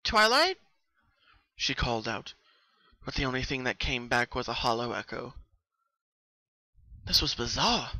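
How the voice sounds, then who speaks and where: A man reads a story aloud in a dramatic, suspenseful way. His voice is strikingly bright and sharp at a middle pitch. The sound is close and dry, with very little room to it. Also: fairly even intonation, moderate pace, creaky, pauses often, no room sound. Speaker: narrator. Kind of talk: dramatic fan fiction reading.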